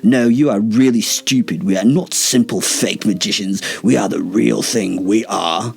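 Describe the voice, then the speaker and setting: A man voicing a cold, haughty ancient male vampire in a storybook reading, speaking slowly with pompous disdain. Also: lowish voice, creaky, a little mellow. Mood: irritated disdain.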